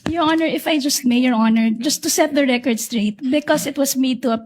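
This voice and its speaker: A woman's voice: high voice, normal speed, darkish tone. A woman with a Filipino accent, a prosecution lawyer arguing formally and carefully, deferential, switching between English and Filipino. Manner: deferential, careful, hedged objections. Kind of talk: formal legal argument mixing English and Filipino.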